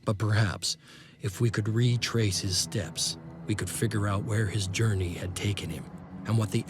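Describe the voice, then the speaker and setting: An actor playing a solemn male chronicler, narrating a scripted video game cutscene with deliberate phrasing. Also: lowish voice, lightly acted. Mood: reflective. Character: solemn male chronicler of a fallen secret project. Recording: loud music behind the narration. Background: loud music.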